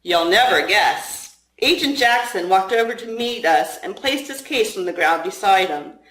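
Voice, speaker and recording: A woman's voice: mid-range voice, animated, moderate pace, fairly bright tone. A woman reading her own story aloud as a first-person narrator. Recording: roomy, echoing room sound.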